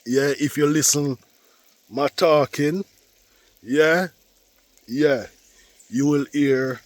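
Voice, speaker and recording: A man with a Jamaican accent chatting casually to viewers as a gardening vlogger, unhurried and repetitive, slightly congested with a cold. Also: fairly high pitch, fairly even intonation, no room sound. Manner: unhurried, repetitive, with frequent "yeah" and "you know". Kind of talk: casual vlog talk to viewers. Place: outdoors in a garden.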